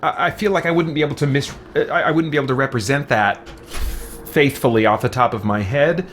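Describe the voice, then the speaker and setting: A man's voice: mid-range voice, a little fast, a bit bright, a little hiss or hum. A man chatting casually on a livestream, answering viewers in a hesitant way and searching for words. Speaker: streamer playing a game and answering viewer comments. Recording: music playing behind voice. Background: faint music.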